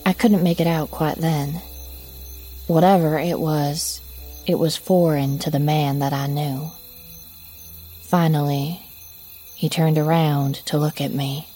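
A woman narrating a horror story in the first person as a shaken daughter looking back, with measured, reflective phrasing. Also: sits low, steady tone, warm tone, faint music behind. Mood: tense, uncertain. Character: shaken daughter recounting a frightening childhood memory.

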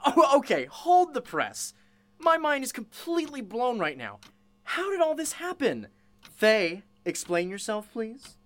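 An actress playing a curious, chatty interviewer in a radio play, with a casual, reactive way of asking questions. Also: female voice, on the high side, huge pitch swings, unhurried, no echo. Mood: amazed, playful.

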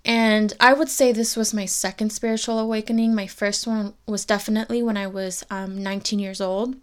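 A woman's voice: medium pitch, steady tone, a little fast. A woman in her twenties hosting a podcast, telling a personal story in a candid, reflective way and speaking to her listeners directly. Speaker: podcast host.

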